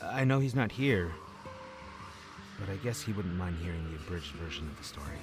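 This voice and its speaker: A man's voice: sits low, moderate pace, loud music behind the voice, lightly acted. An actor playing a wry, jokey man with a troubled past, talking in a casual, slangy, hesitant way in an audio drama. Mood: subdued, candid. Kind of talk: acted audio drama dialogue.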